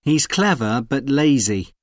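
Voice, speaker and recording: A man reading short example sentences clearly for a language course. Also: mid-range voice, no echo. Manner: slow, clear, expressive reading. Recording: dry studio recording.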